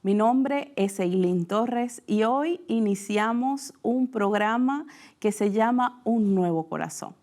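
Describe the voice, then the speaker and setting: Venezuelan woman hosting a faith talk show, fairly high-pitched, leans in with questions. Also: lively intonation, slow. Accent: Venezuelan Spanish. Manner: warm conversational questioning, frequent "mira". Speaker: host. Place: TV studio.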